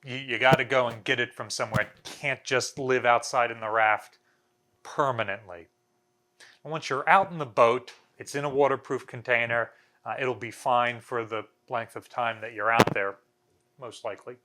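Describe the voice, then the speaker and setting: American man, thirties, bright forceful voice, mid pitch, informal explainer, casual, wry dry humour. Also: fairly even intonation, moderate pace. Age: 30s. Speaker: museum video host. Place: small bare indoor room.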